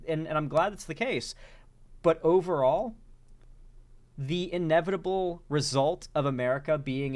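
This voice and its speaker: Man hosting casual reaction commentary. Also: a little high. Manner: conversational, exclaims in excited bursts. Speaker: commentary channel host.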